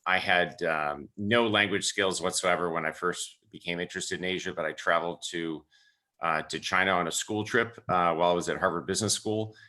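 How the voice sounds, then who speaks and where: 40s guy, deep but super bright and forceful voice, telling his career story on a call. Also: steady tone, brisk. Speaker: entrepreneur, webinar panelist. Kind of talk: casual storytelling on a webinar.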